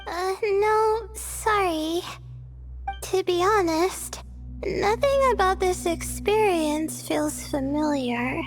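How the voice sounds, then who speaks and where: A shy young student from a video game, speaking slowly in a very high voice. She sounds hesitant and apologetic, with music clearly behind her. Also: exaggerated voice. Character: shy, sleepy, soft-mannered young female astronomy student.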